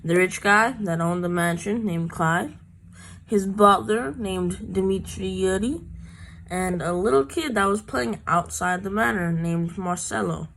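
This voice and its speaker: A woman's voice: mid-range voice, measured pace, fairly bright tone, rough recording. A woman giving scripted narration as a detective's partner in an amateur radio play, with some noise and clicks on the recording.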